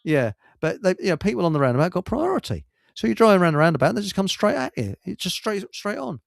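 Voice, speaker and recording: A man's voice: high-pitched, very animated, fast, vocal fry, dry recording, clean studio sound. An older man, chatting casually as a radio host and chuckling through rambling anecdotes. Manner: jovial, chuckles through his stories.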